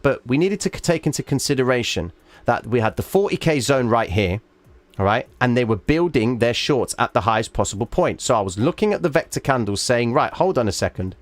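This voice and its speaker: A man hosts a live trading stream, talking viewers through a chart and stopping often to ask "all right?" His voice is starting to go, and he sits at an average pitch for a man. The sound is dry and close, with no room echo. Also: expressive, fairly quick. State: losing his voice. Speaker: trading livestream host.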